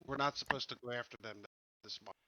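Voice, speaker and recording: A man talks as a player in a tabletop game, in casual questioning table talk. His voice is bright and middle-pitched. The sound is dry and a little dull. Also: fast, no room sound.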